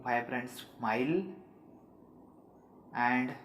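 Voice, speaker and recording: A man speaks as a meditation guide in a medium-low, everyday male pitch. His delivery is very slow and soothing, with long pauses between short instructions. The sound is noticeably roomy and echoing. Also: fairly bright tone, slight background noise.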